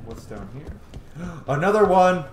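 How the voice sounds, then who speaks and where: A man's voice: on the high side, sing-song, takes it slowly, roomy sound, a little hiss or hum. A man in his thirties giving casual, enthusiastic gameplay commentary as a streamer. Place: small room indoors.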